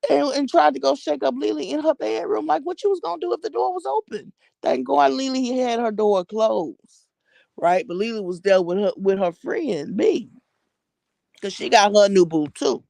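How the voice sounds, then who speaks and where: A woman's voice: sits high, expressive, normal speed, creaky, mellow, dry recording. An American woman giving casual gossip commentary, blunt and slangy with plenty of swearing, repeating phrases for emphasis. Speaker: vlogger commenting on social media personalities.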